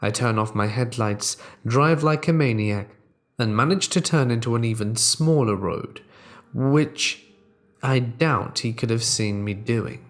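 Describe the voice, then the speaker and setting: A man reading true horror stories aloud as the host, in a measured, deliberate storytelling manner that drops quieter at tense moments. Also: lowish voice. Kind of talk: scripted narration of reader-submitted true horror stories.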